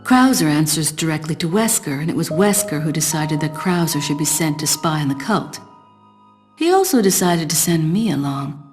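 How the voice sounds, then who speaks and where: A self-assured woman spy in a game cutscene. Her voice sits low and stays detached and measured, guarding every thought. Music plays clearly behind her. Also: lively intonation, darkish tone. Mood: measured, analytical. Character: cool, self-assured female secret agent. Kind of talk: video game cutscene acting.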